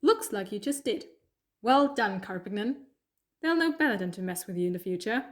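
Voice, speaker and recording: Clever, coaxing heroine female performer, mid-pitched, conversational and teasing, for an audio drama about an alien traveller. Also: lively intonation, moderate pace, a little hiss or hum, close to an everyday voice. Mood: playful, coaxing. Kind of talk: fan audio drama acting.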